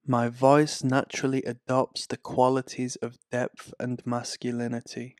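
A man reading guided affirmations in a calm, soothing, scripted way. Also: medium pitch, dry recording, studio quality. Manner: slow, calm, soothing delivery. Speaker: affirmation narrator.

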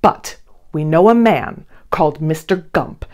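A woman in her thirties reading a rhyming children's picture book aloud in an expressive, theatrical manner with deliberate phrasing. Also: deep voice. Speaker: children's storybook reader. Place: small indoor studio with blue backdrop.

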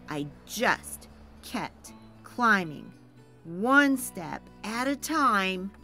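A young woman reads a children's picture book aloud with slow, careful pacing. Her voice is bright and lively, rising and falling to carry the feeling of the story, with soft laughs and sighs along the way. Faint music plays behind her. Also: mid-range voice. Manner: animated first-person narration with soft laughs and sighs. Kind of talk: expressive read-aloud storytelling.

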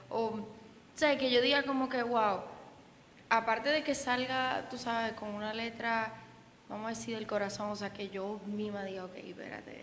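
A woman's voice: medium pitch, fairly bright tone. A young woman singer from the Dominican Republic with a Dominican accent, chatting casually in an interview, full of fillers and restarts. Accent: Dominican Spanish. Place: indoor event room with reverb. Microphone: handheld mic held by interviewer. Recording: echoey room with faint noise.

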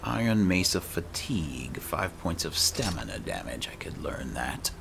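Very deep-voiced man with gravelly fry. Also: big pitch swings, unhurried, background noise. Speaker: gaming channel host. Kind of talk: casual let's-play commentary.

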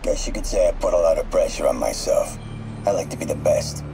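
A threatening proud crook on tape, super deep, guarded, music underneath, video game. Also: male voice, animated, a little fast, gravelly, exaggerated voice. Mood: guarded menace. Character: menacing, proud criminal confiding in a therapist. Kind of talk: video game dialogue, recorded session. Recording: music over an audio-recorder playback.

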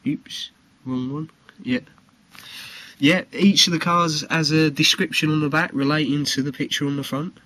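A man informally presenting collectible cards for sale in a homemade video, speaking haltingly. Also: a little high, fairly even intonation, pushed and bright, poor audio. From United Kingdom. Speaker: trading card seller presenting his video. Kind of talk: informal product showcase.

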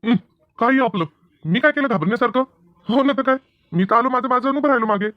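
Dull lo-fi audio, cartoon family drama, actor as young husband, fast, easygoing, caring, teasing. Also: medium pitch, no room sound, natural voice. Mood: casual, concerned.

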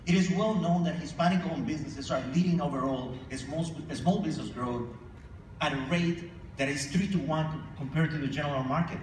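A man's voice: a little high, normal speed, darkish tone. A man giving a formal, emphatic speech from a podium on a convention stage, leading calls for applause. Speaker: chamber of commerce leader presenting awards. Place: large convention ballroom stage. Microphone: podium microphone, filmed from far in the audience. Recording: echoey hall, distant mic, audience noise. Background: audience ambience.

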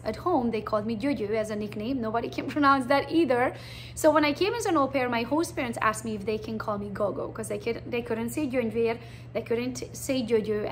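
A woman's voice: fairly high pitch, slight background noise. A Romanian-born woman in her forties with a Hungarian accent, chatting bluntly about her realtor career in a recruiting presentation. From Transylvania, Romania. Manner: chatty, blunt, animated storytelling.

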